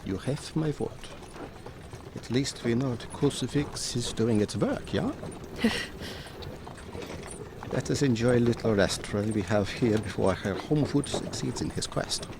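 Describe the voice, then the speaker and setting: Expressive professor, resolute vampire hunter. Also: male voice, medium pitch, a little slow, creaky, pauses often, noisy background. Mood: wry. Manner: formal, commanding, tags questions with "yeah". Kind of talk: dramatic audio drama acting.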